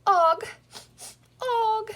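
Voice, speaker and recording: Woman as a high, plaintive little green imp. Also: drawn-out words, a bit bright, full character voice. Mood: tearful, pleading. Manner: plaintive one-word exclamations. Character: small sad green imp man, whimpering.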